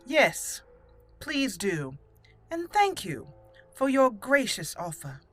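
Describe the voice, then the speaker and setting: A woman voicing a haughty, stern elf mage in fantasy roleplay, measured and imperious. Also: fairly high pitch, very animated, faint music behind, voice pushed up, heavily acted. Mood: mock gracious.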